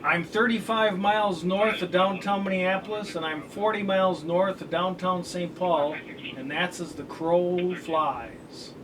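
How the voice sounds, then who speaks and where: A man's voice: high voice, steady tone, unhurried. A middle-aged American man giving a casual product demonstration with folksy, colloquial phrasing. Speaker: radio scanner hobbyist and reviewer. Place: indoor room at home. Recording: distant camera mic, clearly noisy room. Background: radio scanner chatter, noise.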